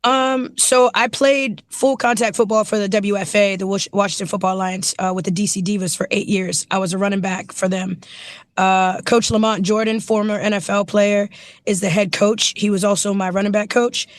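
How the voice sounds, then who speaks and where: Raspy, hoarse woman coach; dry sound, keen and chatty. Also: mid-range voice, fairly even intonation, normal speed, no echo. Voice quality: naturally raspy voice. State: hoarse from yelling at practice. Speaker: running backs coach, guest. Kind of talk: casual interview.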